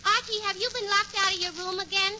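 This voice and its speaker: Brassy, high-pitched New gal. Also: female voice, fairly even intonation, fast talker. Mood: skeptical. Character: brassy, skeptical, vain single woman. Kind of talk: 1940s radio comedy acting. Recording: noisy, dull 1940s radio recording.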